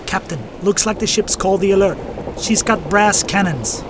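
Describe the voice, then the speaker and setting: An actor playing an earnest, haunted first mate in a radio drama. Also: male voice, sits high, noisy background, lightly acted. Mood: urgent. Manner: halting, broken phrases when recounting.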